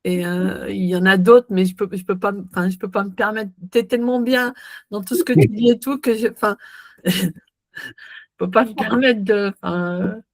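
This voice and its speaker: A woman's voice: medium pitch, steady tone, normal speed, no echo. A woman in an online study group who stammers and apologizes as she offers corrections, laughing between phrases. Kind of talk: casual video-call chat.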